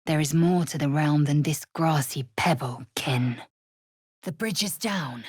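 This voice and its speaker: Fierce young alien warrior lady from a video game, low husky voice, bossy n disdainful, slow clipped delivery. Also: darkish tone, no echo, clean studio sound, exaggerated voice. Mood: cool, measured. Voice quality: husky, raspy, breathy. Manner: imperious, clipped, formal, disdainful. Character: fierce, haughty young female alien githyanki warrior, curt and militant.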